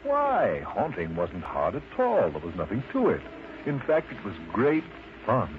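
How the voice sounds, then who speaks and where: Animated male children's storyteller. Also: fairly low pitch, a little slow. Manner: expressive, dramatic. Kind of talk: scripted children's story narration. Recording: muffled lo-fi audio with clear noise.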